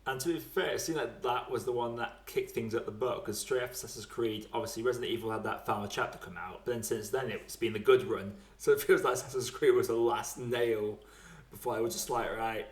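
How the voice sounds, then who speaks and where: A man's voice: medium pitch, fairly quick. A man hosting a casual film podcast, talking in a blokey, slangy way. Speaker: podcast host. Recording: echoey, roomy sound.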